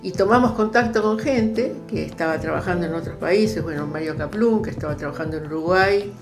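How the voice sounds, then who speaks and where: Low-voiced older Argentine woman, guitar behind. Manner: halting, searching for words. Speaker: interviewee, popular radio producer. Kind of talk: reminiscing interview talk.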